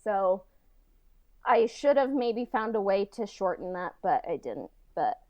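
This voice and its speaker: Female podcast co-host, informal and halting, slightly low-pitched, very dry recording. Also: big pitch swings, a little mellow, dry recording, muffled recording. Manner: halting, informal, peppered with "like" and "kinda". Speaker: podcast co-host and audio drama writer. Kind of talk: casual podcast chat.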